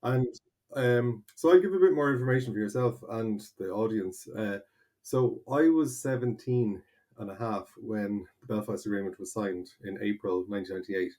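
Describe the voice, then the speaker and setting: An Irish man in his forties talks as an interview guest, low in pitch. He explains at length in an animated way, often restarting his sentences. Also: normal speed. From Ireland (North County Kildare). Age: 40s. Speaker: guest, translator. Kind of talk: conversational interview.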